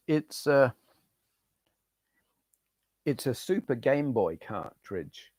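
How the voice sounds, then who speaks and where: A middle-aged man with a hoarse voice, chatting casually to viewers on a retro gaming live stream and reading out their names. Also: fairly low pitch, darkish tone, no room sound, studio quality. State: hoarse, losing his voice.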